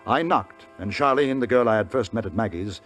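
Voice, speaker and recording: An actor playing a genial, wry gentleman in a radio western, courteous and polished. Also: fairly low pitch, fairly quick, close to an everyday voice. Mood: wry narration. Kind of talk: 1950s radio drama narration and dialogue. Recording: old radio recording with music.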